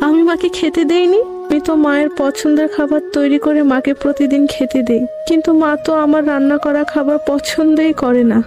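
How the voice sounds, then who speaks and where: A woman's voice: sits high, close to an everyday voice. A woman voicing a meek, dutiful young daughter-in-law in a fairy-tale cartoon, earnest and deferential.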